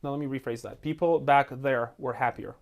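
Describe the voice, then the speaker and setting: Fast-talking man telling stories. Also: medium pitch. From Ukraine. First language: Russian. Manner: fast, animated, acts out quotes. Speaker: guest, entrepreneur. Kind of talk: casual interview storytelling.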